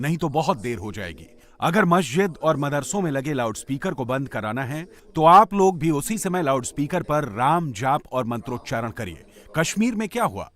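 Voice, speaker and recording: A man's voice: fairly high pitch, fairly bright tone. A man delivering political statements in an emphatic, declamatory way, as a news voice-over.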